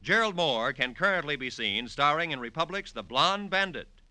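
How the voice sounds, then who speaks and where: Very bright, forceful American radio announcer man. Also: fairly high pitch, animated, no echo. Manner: formal, measured enunciation. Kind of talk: 1940s network radio announcing, scripted. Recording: vintage radio recording.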